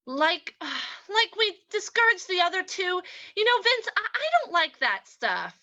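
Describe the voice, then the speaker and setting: A woman's voice: very high-pitched, sing-song, a little slow, pushed and bright, lo-fi sound, heavily acted. A woman playing an uneasy gangster's moll, a reluctant accomplice who pleads and hesitates, in an old-time radio drama. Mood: uneasy, pleading.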